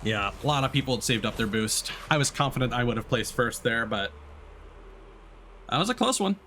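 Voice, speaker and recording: A man's voice: mid-range voice, expressive, a little fast, sharp tone, faint music behind. A man livestreaming a video game, giving casual, explanatory commentary. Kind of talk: casual live gaming commentary.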